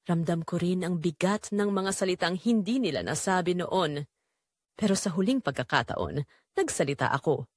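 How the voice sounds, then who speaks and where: Woman acting a sorrowful, long-suffering mother, animated pitch. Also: normal speed, no echo, clean studio sound. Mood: resigned. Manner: solemn, sorrowful, pleading storytelling. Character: long-suffering dying mother, quietly aggrieved, tender narrator. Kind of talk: dramatic first-person audio drama narration.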